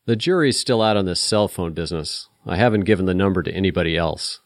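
A man's voice: low voice, lively intonation, fast talker, no echo. A man playing a grumpy, sardonic private investigator in an audiobook, dry and wisecracking.

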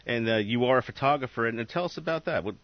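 A man's voice: mid-range voice, rapid-fire, dry recording, highs cut off. Rambling, stumbling radio host man. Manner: rambling, stumbling over his words. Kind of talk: radio interview.

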